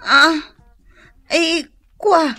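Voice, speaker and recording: Very high, bright Ilocano mischievous village woman, slow whining drawl, comedy radio drama. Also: fairly even intonation, faint music behind, heavily acted. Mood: tearful pleading. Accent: Filipino, Ilocano. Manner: wailing, pleading, drawn-out delivery. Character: mischievous, whiny village woman gossip and prankster.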